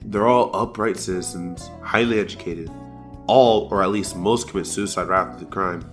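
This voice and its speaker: A man's voice: deep voice, normal speed, fairly bright tone, soft background music. A young man playing a weary, wry student superhero in an audio drama, casual and dryly sarcastic. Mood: focused.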